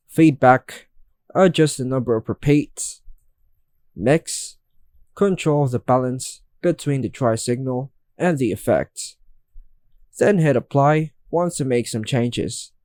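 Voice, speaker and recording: A man's voice: medium pitch, darkish tone, no room sound, studio quality. A man reading a scripted how-to narration in an instructional, step-by-step manner, in short separated phrases. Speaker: tutorial narrator.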